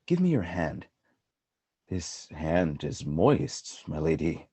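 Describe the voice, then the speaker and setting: An actor playing a proud, jealous general in a Shakespearean radio play, declaiming grand verse in a measured, volatile way. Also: male voice, very deep voice, big pitch swings, a little mellow, husky, dry recording, full character voice. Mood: brooding suspicion.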